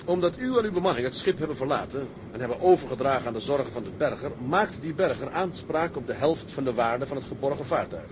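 An actor playing a shrewd, unflappable tugboat captain with sly humour in a Dutch sea drama, measured and dry. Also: male voice, medium pitch, lively intonation, background noise, natural voice. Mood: official, coolly firm.

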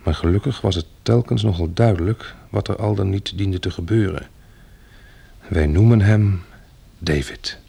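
Gravelly husky survivor guy, dry practical dad type, radio drama. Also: sits low, moderate pace, mellow, exaggerated voice. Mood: solemn. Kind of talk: Dutch radio drama acting, diary narration and dialogue.

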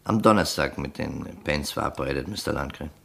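An actor playing a calm, probing police investigator in a radio crime drama, polite and soothing in manner. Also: male voice, extremely low pitch, a little fast, gravelly, slightly rough, full character voice. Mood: quietly insistent.

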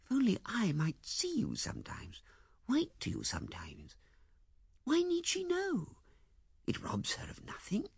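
A man voicing a heartbroken young woman who pleads in a soft, half-whispered voice. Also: very high-pitched, wildly swooping pitch, measured pace, creaky, husky, no room sound, clean studio sound, pitched above the performer's own voice, full character voice. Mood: pleading.

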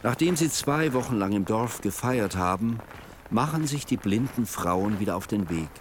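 A man narrating a radio drama in a measured, literary storytelling manner. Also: lowish voice, animated, slight background noise.

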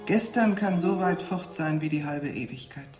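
A woman's voice: deep voice, normal speed, natural voice. An actress playing a grave, cryptic female doomsayer in a radio drama, formal and solemn. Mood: ominous. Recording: echoey room with faint music.

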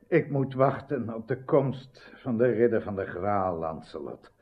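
An actor playing a solemn, ailing old king in a radio drama, speaking gravely and haltingly. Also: on the low side, animated. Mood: weary, longing. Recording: dull lo-fi old radio recording.

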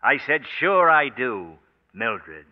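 An actor playing a hard-boiled, wisecracking private detective in a 1940s radio noir, with sardonic, clipped tough talk. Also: male voice, medium pitch, sing-song, takes it slowly. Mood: accusing. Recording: muffled 1940s radio broadcast recording.

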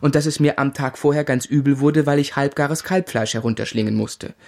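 A male actor playing an earnest, gently exasperated young husband in a literary radio play, measured and articulate. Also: medium pitch, gravelly, no echo, lightly acted. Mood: patient, exasperated. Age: young adult.

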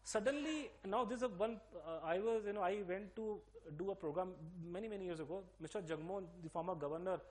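Middle-aged Delhi-accented man, quick-fire emphatic explainer, higher-pitched voice, lots of restarts. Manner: rapid, emphatic, many fillers and restarts. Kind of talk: interview-style explanation.

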